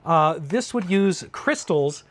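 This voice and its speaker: A man's voice: high voice, lively intonation, slow pace, fairly bright tone. A middle-aged man from Alaska with an American accent, talking casually and informatively as a hobbyist video host in a conversational, explanatory way. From Alaska, United States. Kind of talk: casual informative vlog talk.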